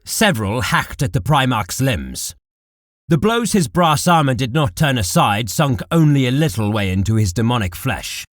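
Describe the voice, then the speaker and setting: A man narrating dark war fiction as a scripted audiobook, in a deliberate, dramatic manner. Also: medium pitch, big pitch swings, no echo, studio quality.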